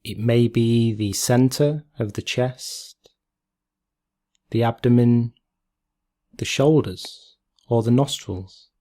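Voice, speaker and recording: A man leading a guided meditation in a calm, gentle, measured way, leaving long pauses between phrases. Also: mid-range voice.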